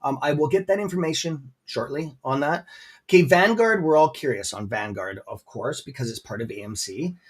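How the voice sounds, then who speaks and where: A man's voice: mid-range voice, animated, moderate pace, breathy edge. A Canadian man rambling through stock holdings in a casual livestream chat, with many fillers and a friendly tone. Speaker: guest presenter on a stock livestream.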